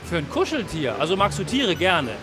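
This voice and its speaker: A middle-aged man works as a street reporter. He interviews children in a friendly, encouraging way, outdoors on a city street with music clearly behind him. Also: high-pitched, sing-song, a little fast. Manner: upbeat, encouraging. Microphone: handheld mic with windscreen. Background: music, street and traffic sounds.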